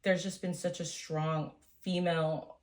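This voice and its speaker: A woman's voice: deep voice, flat delivery, a little slow. A woman, a small business owner, speaking as a guest in a casual interview, earnest and full of ums and likes. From United States. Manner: earnest, warm storytelling with many fillers.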